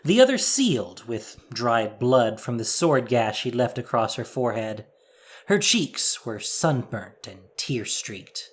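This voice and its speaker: A man's voice: medium pitch, sing-song, measured pace, breathy edge. A man narrating a scripted audio drama in a dramatic storytelling style.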